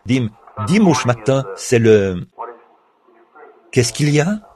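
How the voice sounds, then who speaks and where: A man's voice: mid-range voice, sing-song, takes it slowly, darkish tone. Man reading a translated sermon. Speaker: French reader of a translated sermon.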